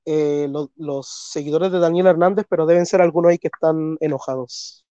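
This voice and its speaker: A man's voice: on the high side, steady tone, a little fast, mellow, no room sound, lo-fi sound. A Chilean man with a Chilean Spanish accent and a congested voice, hosting a live stream and arguing earnestly about theology. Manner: earnest, insistent, repeats phrases. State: congested, clearing throat. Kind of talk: live stream debate talk.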